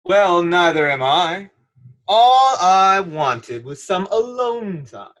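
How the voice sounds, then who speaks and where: A man's voice: sits high, very animated, slow, a bit bright, dull audio, heavily acted. A man playing a fussy, put-upon fairy-tale fellow, emphatic and theatrical, in an irreverent radio play.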